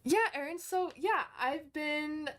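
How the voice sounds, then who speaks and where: A woman's voice: high voice, slow. A woman in her twenties hosting a casual livestream, chatting upbeat with viewers, full of fillers and restarts. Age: 20s. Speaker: livestream host and online course teacher. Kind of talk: casual livestream chat, answering viewer comments.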